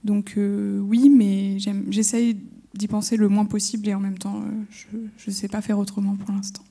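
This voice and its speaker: A woman's voice: mid-range voice, flat delivery, normal speed, gravelly, dark tone, breathy edge. A woman poet at a round-table discussion, thoughtful and correcting herself as she goes.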